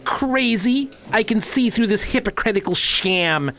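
An actor playing a paranoid, raving spurned lord in an audio drama, accusing and ranting. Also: male voice, sits high, expressive, measured pace, sharp tone, exaggerated voice. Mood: accusing, indignant.

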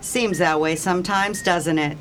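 A woman playing a brisk, reassuring medical attendant at a birth in a radio play. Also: on the low side, a little hiss or hum, lightly acted. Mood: coaxing. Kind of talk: radio drama acting.

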